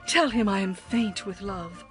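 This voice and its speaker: A woman playing a passionate, lovesick young bride in a dramatized scripture reading, with poetic, expressive delivery. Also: medium pitch, unhurried, close to an everyday voice. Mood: anguished. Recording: music behind the voice.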